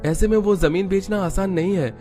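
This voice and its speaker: A man's voice: sits high, a little fast, mellow, soft background music, voice pushed up, lightly acted. A man voicing an earnest young husband and son in a cartoon story, explaining and reasoning. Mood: apologetic, reasoning.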